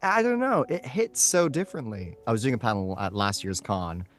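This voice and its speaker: A man's voice: medium pitch, expressive, normal speed, a bit bright. A man speaking casually and emphatically as a voice actor being interviewed.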